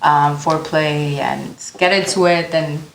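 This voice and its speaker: A woman's voice: low-pitched, steady tone, unhurried, creaky. Guest, young woman, frank and giggly, echoey room with faint hiss. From United States. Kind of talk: casual interview chat.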